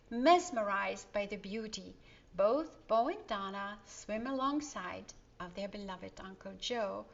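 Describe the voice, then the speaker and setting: A woman reads a children's story aloud at a slow pace. Her voice sits at a medium pitch, and she tells the tale expressively, pausing for effect as she addresses young listeners. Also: creaky, lo-fi sound.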